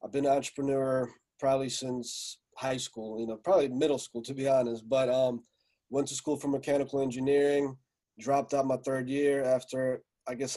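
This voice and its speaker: A man in his forties who grew up in Slovakia talks through his story as a podcast guest. His voice is mellow and dark, and his delivery stays flat and even. He rambles easily, with plenty of fillers. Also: mid-range voice. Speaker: guest, music entrepreneur. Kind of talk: casual podcast interview.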